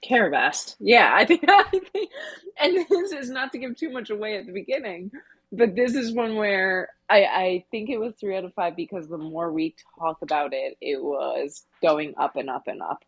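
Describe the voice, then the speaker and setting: A woman's voice: mid-range voice, expressive, normal speed, breathy edge. A woman co-hosting a podcast, discussing stories in an informal, reflective way. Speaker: podcast co-host. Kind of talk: casual book discussion.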